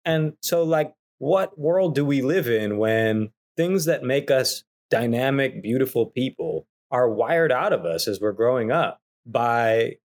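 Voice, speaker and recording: A man's voice: mid-range voice, animated, unhurried, few pauses. A man in his thirties, a podcast guest, speaking in an earnest, thoughtful way with some hesitations. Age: 30s. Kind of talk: casual conversation.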